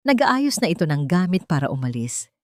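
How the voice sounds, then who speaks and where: A woman's voice: fairly low pitch, big pitch swings, a little fast, a little mellow, no room sound, studio quality. A Filipino woman narrating a scripted romantic drama as a storyteller.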